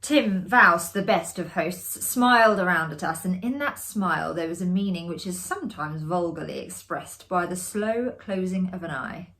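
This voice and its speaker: A woman giving a theatrical, expressive reading of a classic ghost story, with deliberate phrasing. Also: lowish voice. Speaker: amateur actor reading a story aloud.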